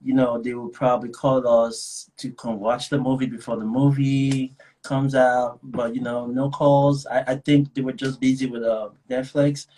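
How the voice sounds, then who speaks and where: A man's voice: medium pitch, level tone, moderate pace, dark tone, poor audio. A young adult man with a Nigerian accent, chatting casually as a guest, rambling with many restarts and frequent laughs. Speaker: actor guest. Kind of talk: casual video chat.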